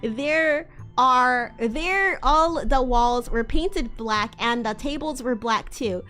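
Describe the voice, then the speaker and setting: High-voiced woman reading theatrically, unhurried. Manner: theatrical, expressive. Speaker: livestream host. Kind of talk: live dramatic reading of fan fiction.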